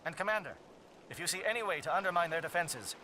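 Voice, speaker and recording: High-pitched, formal alien military captain. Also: male voice, animated, fast, bright, forceful tone, slight background noise. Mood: urgent. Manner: clipped, formal, rousing. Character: resolute alien Salarian military captain, gravely dignified. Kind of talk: video game cutscene acting.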